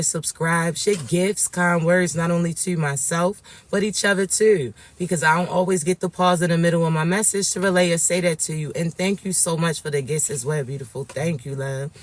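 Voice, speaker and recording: A raspy-voiced man, talking slowly and tenderly to viewers as a spiritual reader. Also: a little high. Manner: soft, drawn-out, affectionate address to viewers. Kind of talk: livestream reading for viewers.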